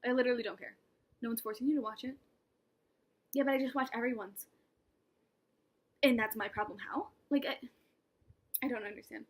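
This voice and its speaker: A young woman with a slightly high, breathy voice chats casually to her camera. She rambles and mocks herself, often dropping into whispered asides between laughs. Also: fairly quick, long pauses. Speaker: vlogger. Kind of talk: casual vlog monologue.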